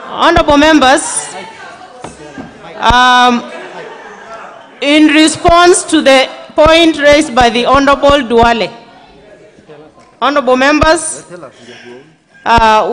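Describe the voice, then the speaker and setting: A woman's voice: sits high, a bit bright. A woman presiding over a parliamentary sitting, firm and formal, repeating calls to order. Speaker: presiding chair of the assembly. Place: large parliament chamber. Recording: long hall reverb with murmuring members.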